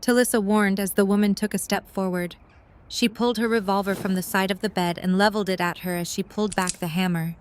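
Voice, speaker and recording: A woman's voice: medium pitch, fairly even intonation, fairly quick, slight background noise. A woman reading scripted narration for an audio drama as its storyteller.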